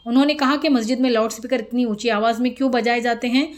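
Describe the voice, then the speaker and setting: High-pitched woman reading the news. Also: steady tone, fast. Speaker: news presenter.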